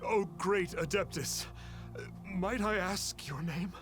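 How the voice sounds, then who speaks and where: A repentant worshipper for a video game. His voice sits fairly high and brims with reverent, fawning earnestness. He phrases things formally, and music plays clearly behind him. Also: slow pace. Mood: awestruck. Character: awestruck, earnest repentant male impostor, devoted fan. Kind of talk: English video game voice acting.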